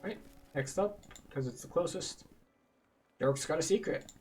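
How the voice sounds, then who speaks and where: A man's voice: on the high side, lively intonation, unhurried, a little mellow, recorded from a distance, soft background music. A man giving casual walkthrough commentary as a guide to a video game.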